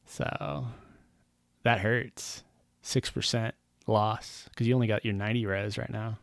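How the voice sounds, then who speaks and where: A man's voice: fairly low pitch, fairly even intonation, unhurried, gravelly, a little hiss or hum. A man chatting casually on a game stream, rambling and wry, often trailing off with um and uh.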